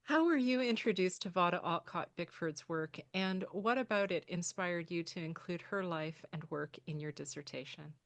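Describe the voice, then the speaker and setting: A woman's voice: fairly low pitch, normal speed, hardly any pauses, no room sound. A woman hosting a podcast, reading an introduction and then asking interview questions in an encouraging way. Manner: warm, encouraging, with short affirming replies. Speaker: podcast host.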